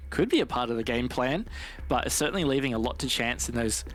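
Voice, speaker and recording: A man's voice: mid-range voice, lively intonation, quick pace, a bit bright, poor audio. A man commentating a live esports match in a conversational, analytical way with casual banter. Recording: game gunfire noise behind voice. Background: video game gunfire.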